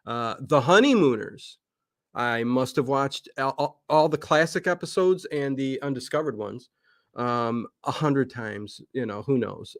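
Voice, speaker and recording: A middle-aged man chatting casually as an interview guest, talking emphatically and repeating words to stress his points. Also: mid-range voice. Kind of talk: casual chat.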